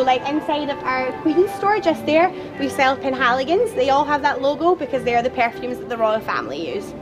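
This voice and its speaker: Loud, chatty woman tour guide. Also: sits high. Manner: loud, lively and chatty, with playful jokes. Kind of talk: guided tour talk to a group. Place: outdoor garden at a theme park. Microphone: camera a few steps away, no microphone.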